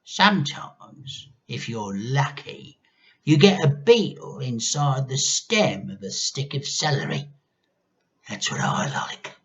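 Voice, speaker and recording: A man voicing a nasty, gleefully menacing old grandmother in a storybook, with drawn-out, gloating delivery. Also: medium pitch, big pitch swings, a bit bright, lo-fi sound, pitched above the performer's own voice. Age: older. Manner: drawn-out, gloating, sometimes throaty whispering.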